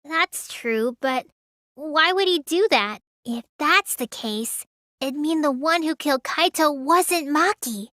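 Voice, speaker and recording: A woman voicing a small, childlike teenage girl mage in a video game, high and girlish, sulky and excitable with a whiny edge. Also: takes it slowly, dry recording, clean studio sound, heavily acted. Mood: sulky, matter-of-fact. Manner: whiny, stammers when startled. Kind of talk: English game dub voice lines.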